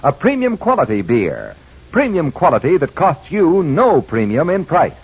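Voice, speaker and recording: A male radio announcer giving an enthusiastic sales pitch for a product. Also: huge pitch swings, normal speed, a little mellow, slightly rough. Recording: dull old radio broadcast.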